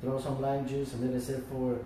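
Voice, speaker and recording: A male chef talks through how to make a dish, step by step, pausing often on fillers. His voice sits at an ordinary middle pitch. He is heard from well away from the mic in a very echoey restaurant room, with faint noise behind him. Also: completely flat delivery, dark tone, recorded from a distance. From Florida. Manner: explains step by step with many fillers. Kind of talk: cooking demonstration.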